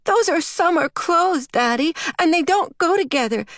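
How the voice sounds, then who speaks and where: Pouty little preschool girl for an audiobook, way up high, whiny and bossy. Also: lively intonation, normal speed, a bit bright, no room sound, clean studio sound. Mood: stubborn. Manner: childish, pouty, insistent. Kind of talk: audiobook character voice.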